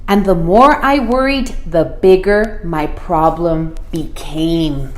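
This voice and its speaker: A woman reading a picture book aloud to children as a school principal, expressive and deliberate, putting questions to her listeners. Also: medium pitch, slight background noise. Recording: roomy, echoey room sound.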